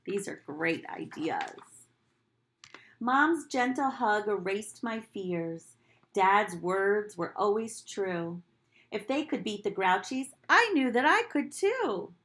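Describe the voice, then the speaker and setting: A woman reads a children's picture book at a slow, careful pace. Her delivery is animated and teacherly, made for small listeners, and her voice sits at a medium pitch. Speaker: nursery school teacher reading aloud. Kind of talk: expressive picture-book read-aloud for young children, with asides.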